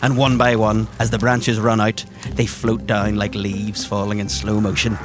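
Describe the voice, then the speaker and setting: A man's voice: on the low side, flat delivery, normal speed, music in the background. A man narrating a tabletop adventure as game master, in vivid, theatrical and descriptive language.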